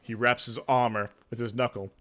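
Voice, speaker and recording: A man's voice: medium pitch, flat delivery, fairly quick, fairly bright tone. A man narrating a video game playthrough, mixing casual, hesitant, wry asides with dramatic reading of the text.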